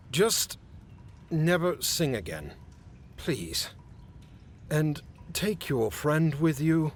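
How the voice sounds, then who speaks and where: An actor playing a haughty, impatient fae official in a fantasy comedy audio drama, curt and formal. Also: male voice, on the high side, sing-song, extremely slow, a little hiss or hum, exaggerated voice. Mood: exasperated. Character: exasperated haughty male fae official guarding a captive.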